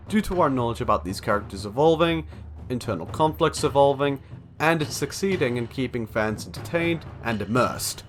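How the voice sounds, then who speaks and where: A young man narrates a scripted video essay. His medium-pitched voice moves up and down in a lively way, with music playing behind him.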